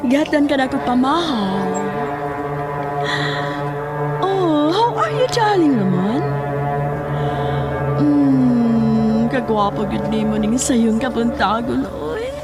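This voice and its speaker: An actress playing an obsessed woman villain in a horror radio drama, cooing in drawn-out words and turning sharply scolding. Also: on the high side, expressive. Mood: sickly sweet. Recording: music behind the voice.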